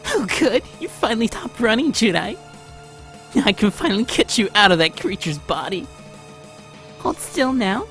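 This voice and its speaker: An unhinged young woman from a horror visual novel, played by a man in falsetto, coaxing and sweetly menacing. The voice sits high and swoops through a sing-song melody, with music playing behind it. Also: big pitch swings, normal speed, long pauses, a bit bright, exaggerated voice. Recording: music behind, low-quality home recording.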